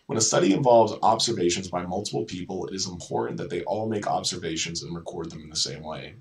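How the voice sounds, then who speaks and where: A man's voice: deep voice, fast talker, vocal fry, recorded from a distance. A man presenting an educational video, reading lesson notes in an informal way and often correcting himself with casual asides. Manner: informal, self-correcting, repeats words.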